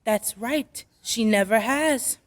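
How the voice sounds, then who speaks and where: A woman plays a practical, skeptical working mother in vintage radio drama. Her voice is high, her delivery dry and businesslike. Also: takes it slowly. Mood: brisk, wry. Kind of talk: old-time radio drama acting.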